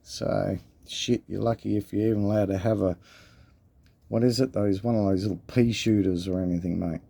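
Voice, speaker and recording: A man with an Australian accent and a deep voice, showing his coin collection in a laid-back, swearing, chatty way. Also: fairly even intonation, moderate pace, creaky, warm tone, dry recording. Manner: laid-back, swears casually, calls viewers mate. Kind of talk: casual show-and-tell chat. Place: indoors at a table.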